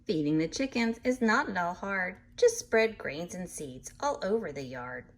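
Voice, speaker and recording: A woman reading a picture book aloud to children in an animated way, pausing to explain things patiently in simple words. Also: on the low side. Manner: animated, acts out animal sounds, addresses young listeners. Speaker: read-aloud storyteller for children.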